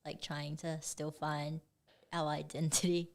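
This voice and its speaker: A young woman from Singapore, guest artist chatting casually in an interview, relaxed and full of "like" and "um". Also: sits low, measured pace, gravelly. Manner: relaxed, many fillers like "like" and "um".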